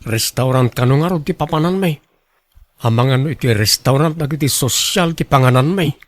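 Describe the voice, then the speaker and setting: A man's voice: medium pitch, a little fast, no room sound, lightly acted. An actor playing a humble, insecure young working man in love, earnest and hesitant, in an Ilocano radio drama. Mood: worried.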